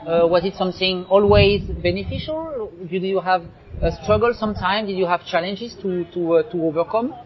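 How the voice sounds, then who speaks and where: High-voiced male host, dull lo-fi sound. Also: moderate pace. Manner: loose, rambling questions. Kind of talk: casual interview hosting.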